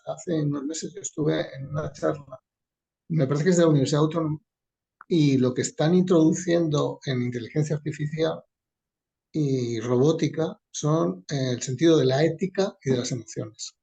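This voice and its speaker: Gravelly, husky man making a comment. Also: fairly high pitch, moderate pace, warm tone. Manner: casual, rambling comment. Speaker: audience participant.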